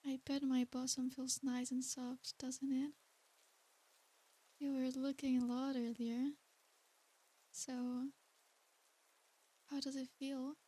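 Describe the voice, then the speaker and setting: A woman playing a tender, doting, centuries-old succubus in a soothing, soft and breathy ASMR roleplay. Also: high-pitched, level tone, takes it slowly, long pauses. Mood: affectionate, coaxing. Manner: soothing, coaxing, hushed, with pet names.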